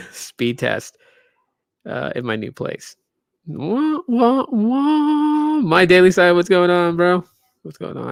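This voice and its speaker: A man's voice: a little high, gravelly, dry recording, clean studio sound. A tired man in his late thirties chatting loosely with lots of fillers as he hosts a live tech stream from a room in his new home. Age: 30s. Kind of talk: casual live stream chat.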